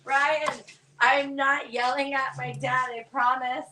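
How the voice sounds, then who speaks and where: A hoarse woman who is losing her voice, chatty and teasing, reading viewer comments aloud on a live stream. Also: high voice, flat delivery, slow pace, pushed and bright, a little hiss or hum. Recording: echoey, from far off the mic.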